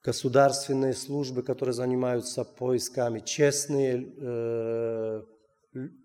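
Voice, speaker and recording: A man telling a missionary testimony, halting with many restarts. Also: mid-range voice, little pitch movement, warm tone. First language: Russian. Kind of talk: personal testimony. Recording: long hall reverb.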